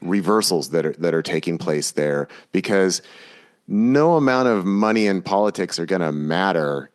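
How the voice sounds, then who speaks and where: A man's voice: sits low, lively intonation, moderate pace. A man hosting a podcast interview, talking in a casual, blunt, conversational way. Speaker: podcast host.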